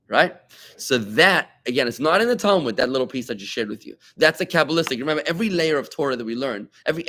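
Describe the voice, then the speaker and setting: Animated rabbi teaching a Talmud class. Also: male voice, mid-range voice. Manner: animated, rhetorical questions, conversational asides to students. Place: class with students present.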